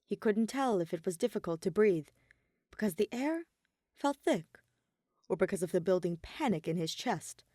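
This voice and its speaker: A woman's voice: mid-range voice, lively intonation, normal speed, dark tone, no echo. Dry-recorded woman narrating dramatic fiction.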